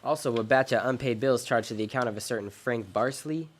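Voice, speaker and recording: Guy doing a hard boiled american detective for a radio play, mid pitch, wry and tired but decent. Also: fairly quick, lightly acted. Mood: businesslike, probing. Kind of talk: 1940s detective radio drama, first-person narration and dialogue.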